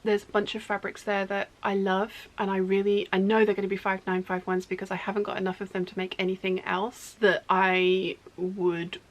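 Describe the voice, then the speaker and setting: A woman chatting casually in her sewing studio, rambling and correcting herself, her voice going hoarse. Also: medium pitch, little pitch movement, moderate pace, hardly any pauses. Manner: rambling, self-correcting, talkative. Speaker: sewing vlogger.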